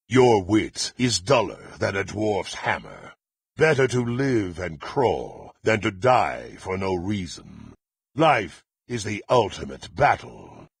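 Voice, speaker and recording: An actor playing a menacing, proud and violent blackguard warrior in a fantasy video game, with a gravelly, raspy voice and haughty, threatening, formal delivery. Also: male voice, lowish voice, no room sound, studio quality, full character voice. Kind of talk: theatrical fantasy game voice acting.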